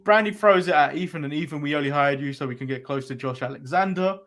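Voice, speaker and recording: Guy co-hosting a wrestling recap, chuckles constantly, dry jokes, slightly high voice. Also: fast, a bit bright. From England. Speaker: podcast co-host. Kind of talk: casual wrestling recap chat.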